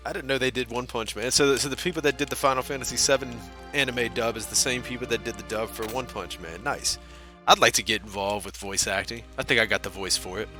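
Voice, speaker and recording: Bright-voiced chatty male streamer. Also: mid-range voice, fast talker, soft background music. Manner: chatty, self-deprecating, answers chat. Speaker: speedrunner streamer. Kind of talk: casual live-stream chat while gaming.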